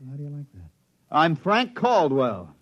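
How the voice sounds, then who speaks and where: Harried wisecracking repairman, slightly high voice. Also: huge pitch swings, unhurried, full character voice. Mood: defensive. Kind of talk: 1950s radio drama acting. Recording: dry, dull old radio recording.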